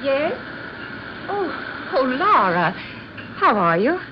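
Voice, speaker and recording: A woman's voice: high-pitched, sing-song, very slow, heavily acted. Fluttery, genteel lady. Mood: polite pleasure. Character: naive, flustered, polite lady friend, easily impressed. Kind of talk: old-time radio drama acting. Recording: muffled, faintly noisy old radio recording.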